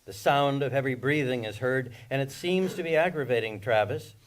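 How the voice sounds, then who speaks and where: A man's voice: mid-range voice, moderate pace. A man narrating scripted stage directions at a screenplay table read.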